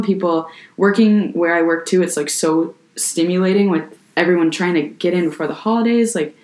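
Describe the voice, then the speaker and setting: A young woman with a deep voice for her age, a little hoarse from a sore throat. She talks in a loose, chatty way, full of "like" and restarts, as a podcast co-host. The room has a noticeable echo. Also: darkish tone. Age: young adult. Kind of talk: casual chat. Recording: echoey room.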